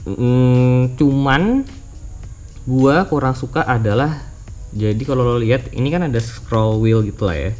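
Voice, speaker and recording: A man's voice: medium pitch, a little slow, darkish tone, soft background music. A man with a Jakarta Indonesian accent giving a casual product review as a vlogger, informally mixing English words into slangy speech. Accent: Indonesian, Jakarta colloquial. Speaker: motovlogger presenting a product review.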